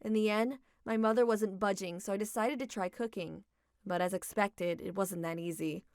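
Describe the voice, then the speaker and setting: A young adult woman narrating a manga dub story in a steady, reflective reading. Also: mid-range voice, normal speed, no echo, studio quality.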